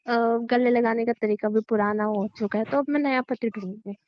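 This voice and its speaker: A woman's voice: fairly high pitch, fairly even intonation, normal speed, close to an everyday voice. A young woman playing a teasing, blunt, playfully stubborn girlfriend in a casual romantic phone-call skit, sassy and dismissive. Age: young adult. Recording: dry, slightly muffled phone-call sound.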